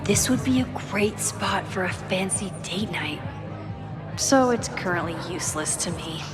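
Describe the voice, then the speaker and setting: A woman's voice: on the low side, lively intonation, measured pace. A woman playing a thoughtful, caring young woman in a video game, speaking in an understated, introspective way. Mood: musing. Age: young adult. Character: thoughtful young woman, caring, quietly burdened photographer with powers. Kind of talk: video game acting, inner monologue and casual dialogue. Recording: music behind the voice.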